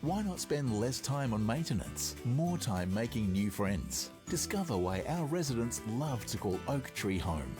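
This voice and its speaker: A man's voice: mid-range voice, sing-song, a little slow, hardly any pauses. A man reading a scripted radio advertisement. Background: music.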